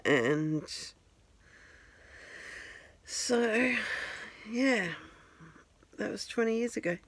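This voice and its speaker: A woman's voice: a little high, expressive, very slow, breathy edge. A woman, chatting to her phone camera at home in a rambling, self-deprecating way. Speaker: vlogger. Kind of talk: casual talk to camera. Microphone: handheld phone.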